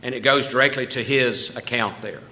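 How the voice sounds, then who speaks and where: A man with a drawl reads out church announcements in a friendly, rambling way. His voice is strikingly bright and keen, and he chuckles often. He sits at an everyday middle pitch. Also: a little fast. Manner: folksy, chatty, laughs easily, with small restarts. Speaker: church member giving announcements. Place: church meeting room.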